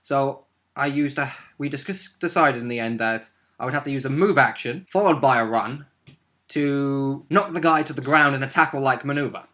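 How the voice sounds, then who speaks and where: A man's voice: medium pitch, moderate pace, bright, forceful tone. A man who plays tabletop games, casually recapping his part of a roleplaying session in a jokey manner. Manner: jokey, given to chuckling. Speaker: tabletop game player.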